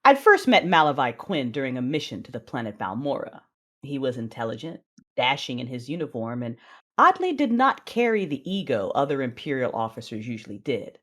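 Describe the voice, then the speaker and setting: A woman narrating an audio drama in the first person, with a deliberate, theatrical delivery. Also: extremely low pitch, very animated.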